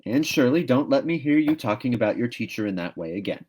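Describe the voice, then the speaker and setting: A man's voice: mid-range voice, expressive, quick pace, darkish tone, slight background noise, voice pushed up, natural voice. A man voicing a stern, no-nonsense older woman guardian from a storybook, scolding sharply and curtly.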